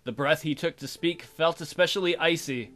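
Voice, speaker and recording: A man's voice: fairly high pitch, normal speed, fairly bright tone. A man hosting a let's play, reading game text aloud expressively and adding casual jokey commentary.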